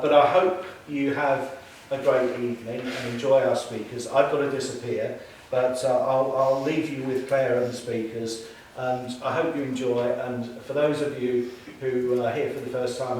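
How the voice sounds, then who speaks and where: A man's voice: mid-range voice, level tone, normal speed, darkish tone, slight background noise. A man giving hesitant opening remarks to an audience on behalf of a college, often restarting his sentences. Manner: hesitant, many restarts and fillers. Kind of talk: speech to an audience. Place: large echoey room. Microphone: distant from the recording mic. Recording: very echoey room, voice from far off.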